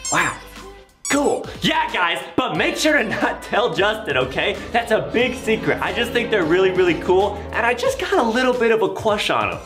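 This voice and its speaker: Male gaming host, twenties, playful and over-dramatic, lively swings in pitch, recorded in a roomy space. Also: moderate pace. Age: 20s. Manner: exaggerated, theatrical reactions. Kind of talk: playful video game commentary for children. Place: small indoor room. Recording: roomy sound with background music. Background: music.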